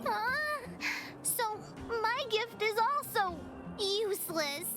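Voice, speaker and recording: Game role: cheerful, childlike little girl, extremely high voice, earnest and eager, over clear background music. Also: lively intonation, slow pace, exaggerated voice. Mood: dismayed. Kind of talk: video game voice acting.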